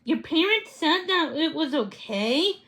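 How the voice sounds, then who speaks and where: A female reader voicing an outspoken young girl from a storybook, expressive and emphatic. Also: high-pitched, unhurried, heavily acted. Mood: doubtful.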